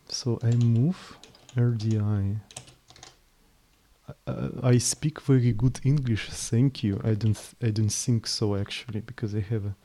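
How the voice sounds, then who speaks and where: A young man with a Slavic accent talks through code on a live stream, his voice mellow and darkish. He is worn out, halting and trailing off mid-sentence as he thinks aloud. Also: medium pitch, a little hiss or hum. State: mentally tired. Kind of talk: casual live coding stream.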